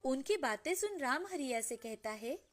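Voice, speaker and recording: A woman's voice: high-pitched, expressive, moderate pace. A woman narrator reading a scripted storybook tale. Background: background music.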